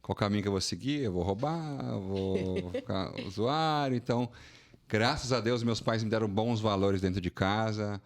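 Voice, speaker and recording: Paulistano de voz grave contando histórias. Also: male voice, moderate pace. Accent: Brazilian Portuguese, São Paulo. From São Paulo, Brazil. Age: 40s. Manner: animated, colloquial, rising pitch when indignant. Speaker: guest, financial consulting entrepreneur. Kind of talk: casual interview storytelling.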